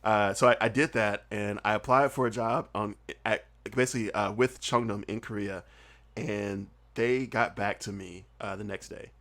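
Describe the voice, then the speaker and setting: A man's voice: fairly low pitch, moderate pace. Laid-back young man with faint Southern lilt. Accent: Southern US, mostly hidden. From southern United States. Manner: animated, laughs often, filler-heavy with "like" and "uh". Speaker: podcast guest. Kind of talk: casual storytelling chat.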